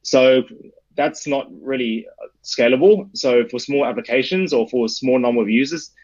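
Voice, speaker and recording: A man's voice: medium pitch, moderate pace, a little mellow, dull audio. A young man with an Australian accent giving conversational answers as an interview guest. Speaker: interview guest, startup adviser.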